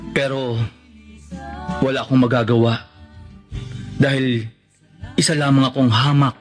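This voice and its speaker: Man acting lovelorn radio drama hero, hesitant, medium pitch, music behind him. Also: flat delivery, measured pace, lightly acted. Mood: wistful, resigned. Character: lovelorn, hesitant man, narrating his secret love. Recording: music under the voice.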